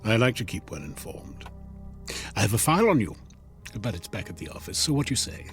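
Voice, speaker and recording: A sly devil of a traveling salesman in a radio play. His low voice swings up and down through glib, coaxing patter. Music plays underneath as he works on his customer. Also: sing-song, brisk. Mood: smug, goading. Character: sly devilish door-to-door salesman peddling a time-bending clock. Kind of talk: modern radio drama acting.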